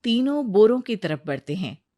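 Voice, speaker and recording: A woman's voice: medium pitch, very animated, normal speed, dark tone. A woman narrating a scripted moral story.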